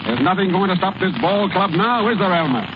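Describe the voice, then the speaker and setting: An actor playing a brisk, confident baseball club boss in 1930s radio acting style. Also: male voice, high-pitched, animated. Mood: confident, hearty. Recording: noisy, muffled vintage radio recording.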